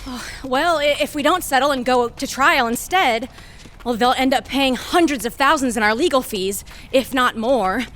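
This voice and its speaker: A woman's voice: high voice, normal speed, fairly bright tone, a little hiss or hum. A woman playing an arrogant, calculating lawyer in an audio drama, articulate, condescending and self-assured. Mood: businesslike. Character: arrogant, calculating Harvard-trained female lawyer.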